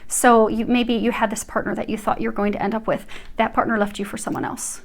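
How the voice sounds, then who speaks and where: A woman's voice: a little high, flat delivery, quick pace, vocal fry. A woman giving an earnest, reassuring tarot reading, speaking straight to her viewers. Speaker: tarot reader.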